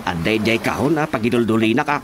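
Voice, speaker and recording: A man's voice: fairly low pitch, animated, fast talker, background noise, close to an everyday voice. A man acting an evasive, flustered village carpenter in a radio drama comedy, hesitant and stammering, with an Ilocano accent. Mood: deferential. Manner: stammering, hesitant, nervous interjections.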